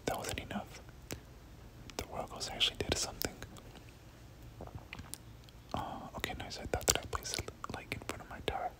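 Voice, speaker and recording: Whispering ASMR gamer, breathy and unvoiced, prone to looping short phrases while narrating play. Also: normal speed, long pauses, low-quality recording. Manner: repeats words and short phrases over and over. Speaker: gaming video host. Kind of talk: whispered ASMR game commentary.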